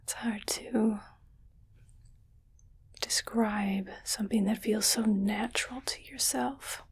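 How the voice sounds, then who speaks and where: Breathy whispering alien owner, acted by a woman. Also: medium pitch, fairly even intonation, exaggerated voice. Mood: musing, patronizing. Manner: slow, deliberate, detached. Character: condescending, curious rich alien keeping a human pet. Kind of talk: whispered ASMR roleplay.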